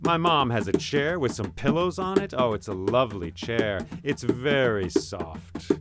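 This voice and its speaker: A man's voice: medium pitch, expressive, measured pace, loud music behind the voice, close to an everyday voice. A man voicing a polite young storybook princess who is eager to boast, speaking earnestly and emphatically. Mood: eager, boastful.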